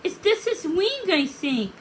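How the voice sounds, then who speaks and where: A woman voicing a kind, steadfast young princess with a gentle, earnest manner for a storybook. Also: very high-pitched, sing-song, measured pace, a little hiss or hum, pitched above the performer's own voice, full character voice. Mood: concerned, tender.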